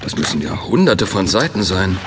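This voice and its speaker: A man playing a calm, thoughtful leader in a science fiction audio drama, speaking in a measured, reassuring way. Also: sits low, background noise. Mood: questioning, thoughtful. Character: calm, thoughtful male space-faring leader. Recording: long reverberant hall sound.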